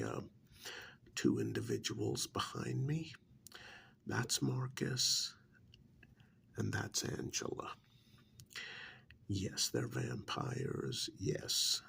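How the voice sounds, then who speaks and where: A man acts out a menacing, smug servant of vampires in an ASMR roleplay. His soft voice is heavily creaky and half whispered. He talks very slowly and deliberately, with condescending, winding intonation that rises and dips. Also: deep voice, huge pitch swings, slight background noise, full character voice. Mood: coolly menacing. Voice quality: breathy, gravelly half-whisper. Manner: slow, deliberate, condescending, with pauses. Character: smug, menacing vampire's male agent interrogating a captured hunter.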